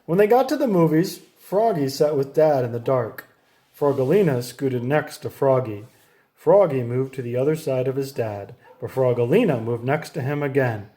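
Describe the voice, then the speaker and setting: A man reading a children's story aloud in a playful, animated way, leaning on the sound words. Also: medium pitch, normal speed. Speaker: storybook reader.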